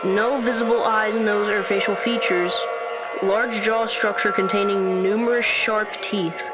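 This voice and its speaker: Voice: medium pitch, steady tone, measured pace, over loud music, low-quality recording. A synthetic-sounding announcer narrating a scripted horror emergency bulletin in a formal, detached, clinical manner.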